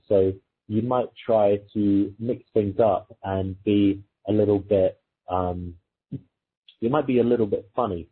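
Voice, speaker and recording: A very husky, rough-edged male voice comes through a thin, phone-like recording. He speaks as a coach, explaining things informally in a conversational way and illustrating them with example dialogue. His pitch sits on the low side. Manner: informal, explanatory, illustrates points with quoted exchanges. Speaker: dating coach. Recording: muffled phone-like audio, thin and lo-fi.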